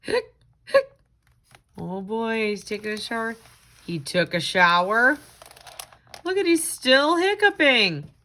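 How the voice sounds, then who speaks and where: A woman's voice: fairly high pitch, expressive, unhurried, pushed and bright. A woman tutor reading to children in a sing-song storytelling style, with chatty asides. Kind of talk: expressive read-aloud and craft lesson for children.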